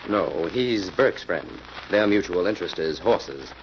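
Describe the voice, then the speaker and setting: A man playing a suave, genial detective in a 1940s radio drama, with a gently teasing manner. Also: deep voice, expressive, a little slow. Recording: muffled, hissy old radio recording.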